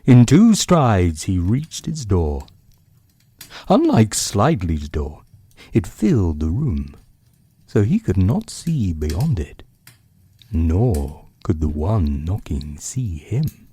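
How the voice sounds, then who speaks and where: A man hosting a storytelling podcast, narrating from a script in bedtime-story style with dramatic pauses and savoured phrasing. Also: low voice, very animated, mellow, breathy edge, no room sound.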